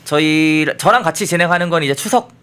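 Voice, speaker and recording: A man's voice: on the high side, brisk, fairly bright tone, clean tone. A man hosting a political talk show in a conversational way, introducing points and putting questions to a guest. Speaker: host.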